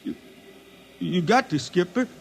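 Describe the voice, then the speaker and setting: An actor playing a stubborn, wistful old blind spaceman in a 1950s radio drama, with a folksy American drawl and halting speech. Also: high-pitched, animated, gravelly, slight background noise, exaggerated voice. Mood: pleading. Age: older. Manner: halting, repeats himself, pleading. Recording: vintage 1950s radio recording.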